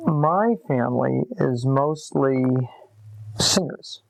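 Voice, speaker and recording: A man's voice: mid-range voice, vocal fry. A man in his late forties with a scratchy voice, unhurriedly telling his life story in an interview. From Massachusetts. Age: 40s. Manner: unhurried, reflective, with pauses. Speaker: interviewee, musician. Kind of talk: relaxed conversational storytelling. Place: upstairs room of an apartment, at a desk.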